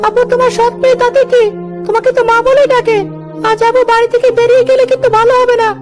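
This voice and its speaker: A woman's voice: extremely high pitch, level tone, fast talker. A woman playing a loyal, protective little village brother in a cartoon story, earnest and pleading. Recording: prominent music behind the voice.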